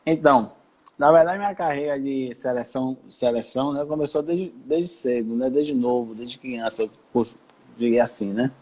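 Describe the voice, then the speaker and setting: A man from Salvador, a federal judge telling his career story in a relaxed, rambling way with many restarts, on a video call. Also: medium pitch, normal speed, low-quality recording. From Brazil, Salvador.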